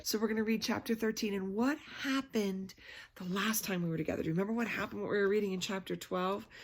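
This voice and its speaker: A woman's voice: mid-range voice, quick pace, a little hiss or hum. A woman storyteller reading a children's book aloud expressively, adding chatty asides and prompting listeners with questions.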